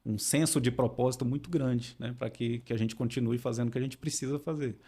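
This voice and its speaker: A man with a mid-range voice talks calmly as a podcast guest. He explains philosophy in an unhurried teaching way and often tags his sentences with a small question. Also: mid-range voice, slightly rough. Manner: calm explaining, frequent tag questions. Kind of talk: podcast interview.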